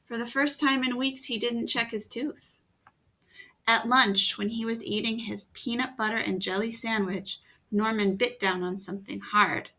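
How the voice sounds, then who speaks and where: A woman reading a children's picture book aloud, gently pausing to ask her young listeners questions. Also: on the high side, steady tone, low-quality recording. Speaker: storytime reader.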